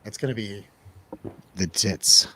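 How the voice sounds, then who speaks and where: A man's voice: lowish voice, slow, fairly bright tone, a little hiss or hum. Casual man chatting about movies, enthusiastic. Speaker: film review show host. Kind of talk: casual movie review chat.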